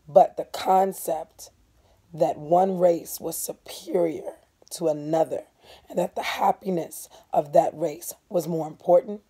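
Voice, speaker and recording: A hoarse woman with a cold, reading a novel dramatically as a teacher, deliberate and emphatic, with instructive asides. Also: sits low, warm tone. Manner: deliberate, emphatic, pausing on key words.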